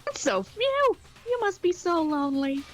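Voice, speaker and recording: Game villain: a mocking little robot bear, high and animated. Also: female voice, measured pace, soft background music, full character voice. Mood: mocking glee. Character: Monokuma, mocking sadistic robot bear mascot.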